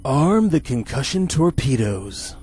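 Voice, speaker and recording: A man's voice: medium pitch, very animated, takes it slowly, darkish tone. Pompous, bumbling space hero captain played by a man, grandiose delivery, vintage radio serial, music behind. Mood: commanding, self-satisfied. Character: pompous, incompetent, self-congratulating male spaceship captain hero.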